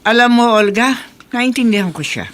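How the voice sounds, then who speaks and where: An actress playing a stern but caring older mother and grandmother in a radio drama, admonishing in measured words. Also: fairly high pitch, expressive, lightly acted. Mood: reproachful. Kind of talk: Filipino radio drama acting. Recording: noisy radio drama recording.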